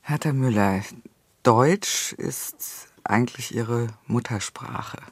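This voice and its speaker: A man interviewing a guest, asking formal, measured questions. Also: mid-range voice, no echo.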